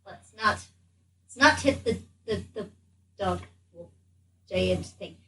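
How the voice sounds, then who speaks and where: A woman's voice: medium pitch, lively intonation, takes it slowly, bright, forceful tone. A woman improvising as a player in a tabletop roleplaying game, stammering and repeating herself as if flustered. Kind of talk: improvised in-character game chat. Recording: distant mic, faint room noise.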